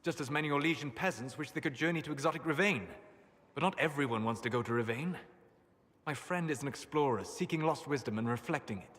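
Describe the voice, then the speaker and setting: An actor playing a grave, scholarly elf mage with a measured, formal manner. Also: male voice, fairly high pitch, fairly bright tone, big-room sound, natural voice. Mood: calm. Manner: measured, formal, bursts into anger.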